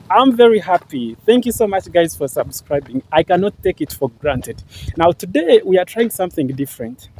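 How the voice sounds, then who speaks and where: A man's voice: fairly high pitch, a little mellow, a little hiss or hum, low-quality recording. A young Ugandan man with a Ugandan English accent, talking casually to camera on a walk outdoors, repeating himself often and thanking viewers again and again. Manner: repetitive, earnest, many fillers and thank-yous. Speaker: vlogger. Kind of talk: casual vlog talk to camera. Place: outdoors, walking through green farmland.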